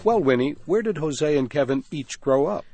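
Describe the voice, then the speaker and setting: A man teaching and co-hosting a scripted radio language lesson, explaining clearly and patiently into a studio mic. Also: on the high side, lively intonation, moderate pace, over music. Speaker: teacher and co-host.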